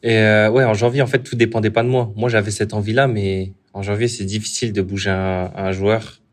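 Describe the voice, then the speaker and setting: A young man, a footballer, answering interview questions casually with many restarts. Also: lowish voice. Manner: relaxed, halting, many restarts. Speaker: footballer guest.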